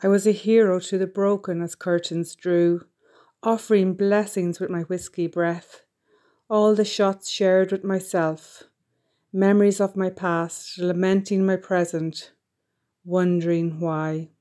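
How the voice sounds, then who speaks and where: Woman reciting poetry slowly. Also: on the low side, fairly even intonation, dark tone. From Ireland. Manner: slow, deliberate recitation. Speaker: poet. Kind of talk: poetry reading.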